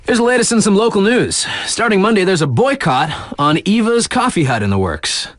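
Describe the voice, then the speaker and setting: A man's voice: high-pitched, sing-song, a little fast, no echo, clean studio sound. A man playing a laid-back, sardonic young radio DJ in a video game, reading local news in a wry, slangy, conversational way. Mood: upbeat, sardonic.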